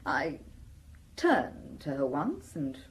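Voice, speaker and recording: Low-voiced, sardonic woman of forty. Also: huge pitch swings, extremely slow, slight background noise, heavily acted. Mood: frank, reminiscing. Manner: sardonic, unsentimental, forthright. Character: blunt, worldly French woman of about forty. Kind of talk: radio drama acting.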